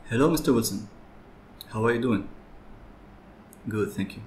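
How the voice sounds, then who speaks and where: A young man in an office ASMR roleplay, talking in a measured, business-like way. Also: fairly low pitch. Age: young adult. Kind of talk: office ASMR roleplay, murmuring and phone talk. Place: small high-rise office, indoors. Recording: roomy office, loud keyboard clicks and noise. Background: typing and clicks over heavy noise.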